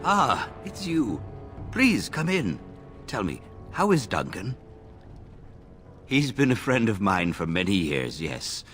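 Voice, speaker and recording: An actor playing a courteous, grave nobleman in a video game, speaking in a measured, formal way. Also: fairly low pitch, huge pitch swings, a bit bright, faint music behind, heavily acted. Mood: courteous, sombre. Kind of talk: video game character acting.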